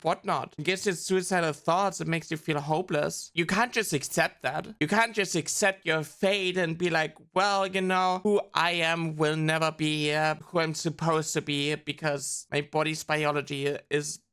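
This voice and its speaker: Bright-voiced earnest woman streamer. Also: sits low, steady tone, normal speed, hardly any pauses, clear, resonant tone. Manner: earnest, explaining with many "like" fillers. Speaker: VTuber streamer. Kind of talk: casual explanatory stream talk.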